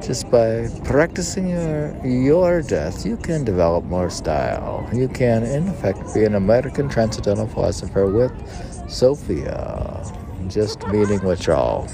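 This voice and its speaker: Gravelly, slow-paced man reading poetry outdoors. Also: fairly low pitch, very animated. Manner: halting, spells out words, reads aphorisms line by line. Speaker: poet reading own work. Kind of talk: spoken poetry reading with casual asides. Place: outdoors on a city museum lawn. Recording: outdoor recording with clear urban noise.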